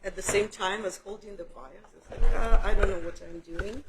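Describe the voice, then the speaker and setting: A woman's voice: mid-range voice, steady tone, moderate pace, heavy background noise. A woman casually walking a group through a painting demo in a studio, chatty and a little self-deprecating. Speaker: art instructor. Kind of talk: casual live demo teaching. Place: art studio room.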